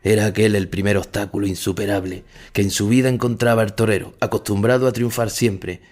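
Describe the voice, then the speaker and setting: A male actor with a Spanish accent playing an ageing, reflective, melancholy bullfighter, narrating memories in a measured, intimate way. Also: fairly low pitch, level tone, a little mellow, close to an everyday voice. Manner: measured, solemn, intimate storytelling. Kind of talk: first-person literary narration in an audio drama.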